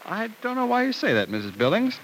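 An actor playing a flippant, idle rich young charmer in an old-time radio soap opera. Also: male voice, high-pitched, huge pitch swings, fast talker, a bit bright, exaggerated voice. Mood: mock earnest. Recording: noisy old radio recording.

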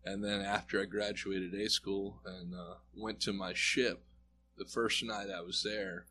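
A man's voice: low-pitched, little pitch movement, a little slow, pushed and bright, slight background noise. A man as an interview guest, earnestly telling a personal story in a conversational way and acting out bits of dialogue. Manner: earnest, reflective, acts out quoted dialogue. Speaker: guest sharing a personal testimony.

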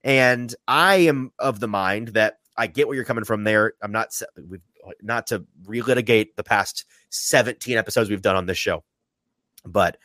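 A man hosting a sports talk podcast, sniffly from a sinus infection, animated and often repeating his first words as he starts a thought. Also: lowish voice, normal speed, no room sound. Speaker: podcast host.

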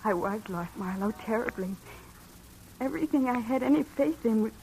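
Casting: fragile young heroine, slightly high voice, halting and earnest, vintage radio drama with faint hiss. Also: female voice, lively intonation, gravelly. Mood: shaken, lost. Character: disillusioned, fragile young woman finding resolve. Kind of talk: 1950s radio drama acting. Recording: noisy vintage radio broadcast.

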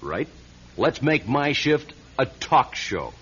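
A man's voice: medium pitch, big pitch swings, fairly bright tone, slightly rough, full character voice. A man playing a brash, pushy, self-assured aspiring disc jockey in a radio drama, eager and insistent. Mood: cocky, excited. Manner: eager, insistent, fast-talking salesman. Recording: dull old radio recording.

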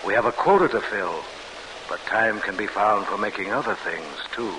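An actor playing a measured, quietly scheming prisoner machinist in 1940s radio drama style. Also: male voice, on the low side, natural voice. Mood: conspiratorial. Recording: noisy vintage radio broadcast recording.

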